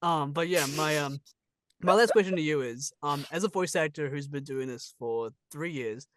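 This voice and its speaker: A man with a New Zealand accent and a somewhat high voice hosts an informal interview. He is encouraging and jokey, swearing freely. The recording is dry, without room sound. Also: normal speed, fairly bright tone, dry recording. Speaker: interview host. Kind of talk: casual interview chat.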